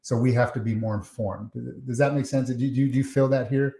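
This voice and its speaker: A man hosting a podcast interview, friendly and halting with many fillers. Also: mid-range voice, steady tone, dark tone. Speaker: podcast host. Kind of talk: casual interview. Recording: echoey room.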